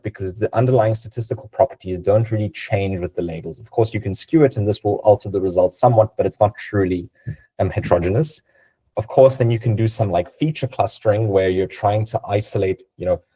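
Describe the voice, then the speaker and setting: A man's voice: lowish voice, mellow, breathy edge, low-quality recording. A man whose first language is Serbian, giving a conversational technical talk over a video call, with many fillers and tag questions. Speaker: guest speaker.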